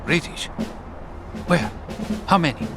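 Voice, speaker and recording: An actor playing an impatient, brash soldier in a wartime video game cutscene. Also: male voice, a little high, animated, takes it slowly. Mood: urgent questioning. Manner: brash, sarcastic, urgent. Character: hot-headed, impatient Italian soldier in wartime. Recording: music behind the voice.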